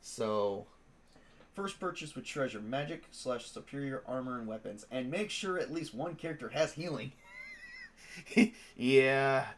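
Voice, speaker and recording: A man's voice: mid-range voice, lively intonation, measured pace. Guy with a stuffy cold, game master rambling on stream, lots of ums. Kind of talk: casual live-stream chat.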